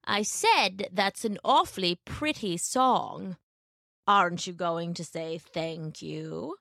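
A woman voicing a sly, smooth-talking male wolf in a read-aloud storybook, drawing out his words slowly and coaxingly. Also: fairly low pitch, a bit bright, no echo, clean studio sound, heavily acted. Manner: slow, coaxing delivery.

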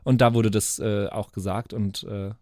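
A man's voice: fairly low pitch, steady tone, normal speed, a little mellow, no room sound, studio quality. A man hosting a podcast in casual chat, rambling, slangy and joking. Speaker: podcast host.

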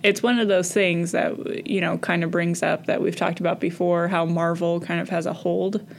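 A podcast panelist with a creaky voice, talking casually about films. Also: mid-range voice, level tone, moderate pace, a little hiss or hum. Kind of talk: casual film discussion.

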